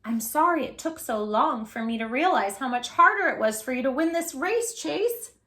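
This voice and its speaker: Heartfelt young male race car, read by a woman, earnest, high and bright. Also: expressive, moderate pace. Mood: apologetic.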